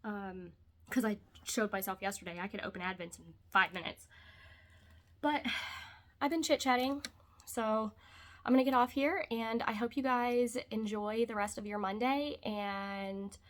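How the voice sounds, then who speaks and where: A young adult woman vlogging from her couch at home, congested, rambling in casual chat. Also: medium pitch. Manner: rambling, chatty, trailing off into quiet asides.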